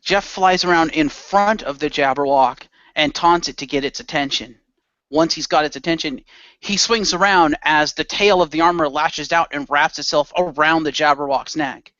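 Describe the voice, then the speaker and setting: A man's voice: on the high side, normal speed, pushed and bright, dry recording, muffled recording. A man improvising third-person action narration for his character in an amateur radio play. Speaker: player narrating own character.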